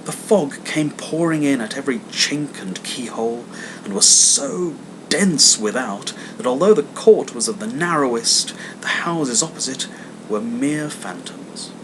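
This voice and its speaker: A man narrating a classic story theatrically, with dramatic, emphatic phrasing and steady background noise. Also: a little slow. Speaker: audiobook reader. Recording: clear background noise, low rumble.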